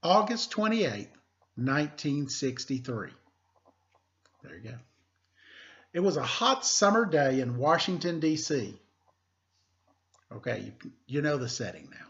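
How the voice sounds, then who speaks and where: Dull-sounding man teaching in a higher-pitched voice. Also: sing-song, a bit bright. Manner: deliberate teacherly emphasis with informal asides. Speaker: elementary teacher reading a picture book aloud. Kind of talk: read-aloud lesson with casual asides.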